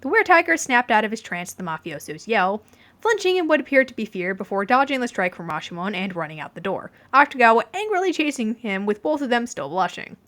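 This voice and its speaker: A woman reading fan fiction aloud as an expressive narrator. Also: on the high side, fast. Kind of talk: expressive scripted story narration.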